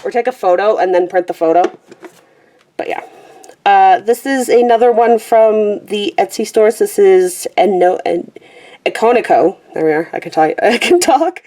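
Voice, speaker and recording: A woman's voice: mid-range voice, dark tone. Chatty woman rambling about her books. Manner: chatty, self-deprecating, trails off with fillers and guesses at names. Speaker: vlogger showing her colouring book collection. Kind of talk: casual rambling chat to camera. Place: small room at home with a pet squeaking nearby.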